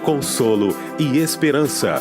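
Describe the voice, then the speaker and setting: A man's voice: mid-range voice, lively intonation, a little slow. A man voicing a punchy promotional spot for an event tour. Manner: punchy announcer delivery. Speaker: promo announcer. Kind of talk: promotional voice-over. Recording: voice-over over loud music.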